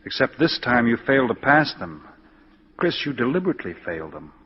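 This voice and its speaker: Earnest, expressive male friend. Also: mid-range voice, brisk, slightly rough. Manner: earnest, persuasive. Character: earnest, steady, caring lawyer friend. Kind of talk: 1940s radio acting. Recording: dull, faintly noisy 1940s radio recording.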